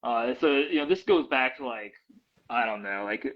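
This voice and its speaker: A man's voice: medium pitch, animated, normal speed, highs cut off. A man answering interview questions in a loose, casual way, full of fillers and restarts. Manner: hesitant, many fillers and restarts. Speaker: guest.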